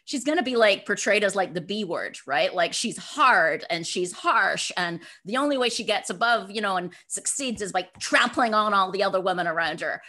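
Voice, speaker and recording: A middle-aged woman giving conversational interview answers as a guest author, animated and given to acting out quotes. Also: mid-range voice, normal speed, hardly any pauses. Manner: animated, acts out imagined quotes.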